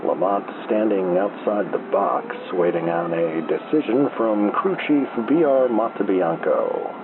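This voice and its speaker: Casting: male actor as deadpan small-town baseball radio caller, low and unhurried, lively melodic swings, heard through crackly narrow-band AM. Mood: deadpan calm. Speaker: baseball radio play-by-play announcer. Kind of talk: slow old-time baseball radio play-by-play. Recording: noisy, thin telephone-like AM radio sound.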